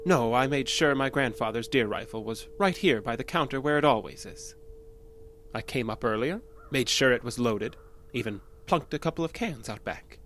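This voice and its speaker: An actor in an audio drama playing an obsessive, unstable male patient with a halting, nervous, insistent manner. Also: mid-range voice, lively intonation, fairly bright tone, slight background noise, lightly acted. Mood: sly, menacing. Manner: halting, nervous, insistent, stammering under pressure.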